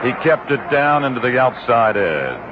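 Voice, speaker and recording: Man calling baseball on old AM radio. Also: a crowd in the background. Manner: brisk, detailed, rises in pitch on exciting plays. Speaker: baseball play-by-play announcer. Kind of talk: radio play-by-play commentary. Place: ballpark broadcast booth. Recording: tinny 1960s AM radio broadcast with hiss.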